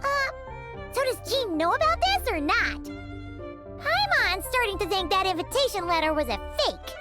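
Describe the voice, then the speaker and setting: Very high squeaky childlike fairy. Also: female voice, big pitch swings, a little slow, over music, heavily acted. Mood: indignant, skeptical. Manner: refers to self in third person, exclamatory. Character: tiny excitable floating fairy companion, childlike, cheeky. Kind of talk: video game voice acting.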